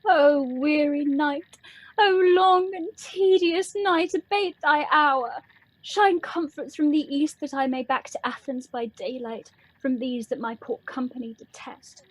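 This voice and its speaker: Woman playing a high-voiced, sorrowful young maiden. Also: measured pace, dry recording, rough recording. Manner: plaintive, lamenting verse. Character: sorrowful, exhausted young woman lost at night. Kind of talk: Shakespearean radio play acting.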